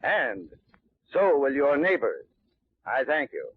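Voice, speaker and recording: A man's voice: medium pitch, big pitch swings, slow pace, slightly rough, no echo. A man giving a scripted 1940s radio commercial as a folksy, instructive expert. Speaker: advertising spokesman, heating expert. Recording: tinny 1940s radio recording.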